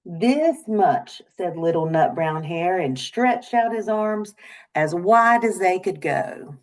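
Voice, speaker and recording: Slow, lower-pitched woman reading a storybook. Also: expressive. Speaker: story reader. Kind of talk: children's picture book read-aloud.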